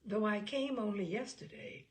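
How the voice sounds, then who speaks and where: A woman voicing a courteous, reserved naval captain in a period novel, formal and solicitous, with a creaky voice. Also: medium pitch, normal speed, natural voice.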